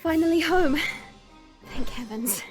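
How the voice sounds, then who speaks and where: A woman's voice: high-pitched, animated, unhurried, soft background music. A woman playing a patient, dignified dragon princess in a fantasy audio drama, speaking in a poised, formal way. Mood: relieved.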